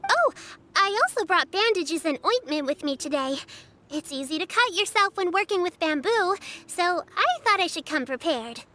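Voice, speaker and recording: Very high, bright little girl. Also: lively intonation, moderate pace, exaggerated voice. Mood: eager. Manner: eager, sweet, considerate. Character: earnest, caring little girl disciple, eager and helpful.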